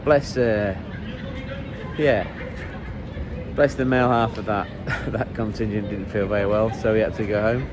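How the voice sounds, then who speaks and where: A man vlogging as he walks city streets, chatty and jokey with rambling asides, a bit hoarse and run down, talking into a handheld camera. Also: lowish voice, steady tone, a little slow. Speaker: football travel vlogger. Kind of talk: walking travel vlog chat. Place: outdoors on city streets, walking. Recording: street traffic noise behind.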